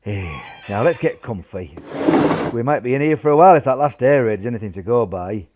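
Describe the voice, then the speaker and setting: A man in a radio play acting a gruff, cheery old grandfather, blustery and reassuring. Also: mid-range voice, lively intonation, fairly quick, noisy background, natural voice. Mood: proud, reassuring. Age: older. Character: gruff, cheery old grandfather, proud wartime shelter builder. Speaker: amateur radio play actor.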